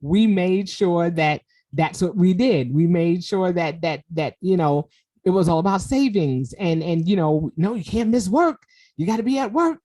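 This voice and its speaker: Low-voiced woman podcast host, affirming. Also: expressive, normal speed, dark tone. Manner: affirming, lots of "you know" and repeated words. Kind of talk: casual conversational interview.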